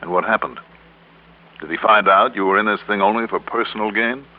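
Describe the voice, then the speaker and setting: An actor plays a hard-nosed investigator in an old-time radio detective drama. His voice is on the low side, measured and pointed. It comes through a slightly narrow vintage recording with music about. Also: natural voice. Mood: probing. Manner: probing, measured, accusing. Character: hard-nosed, steady male insurance investigator.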